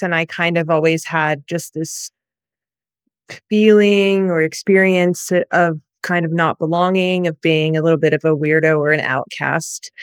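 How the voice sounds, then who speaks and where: A woman from the United States, telling her personal story as a guest in an interview, soft and reflective with many pauses. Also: lowish voice, smooth, dry recording, studio quality.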